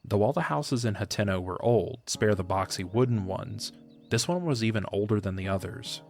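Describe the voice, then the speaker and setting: A man's voice: fairly low pitch, animated, moderate pace, darkish tone, faint music behind. A man narrating a fantasy audio drama in a scripted storybook style.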